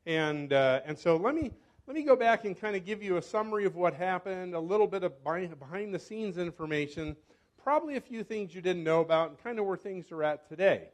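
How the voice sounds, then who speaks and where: An older man, a scientist, giving a casual talk at a club meeting, often correcting himself and pausing. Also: high-pitched, animated. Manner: chatty, self-correcting, fumbles with clicker. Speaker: guest speaker, scientist. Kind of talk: informal lecture. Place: meeting hall. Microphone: handheld or podium microphone.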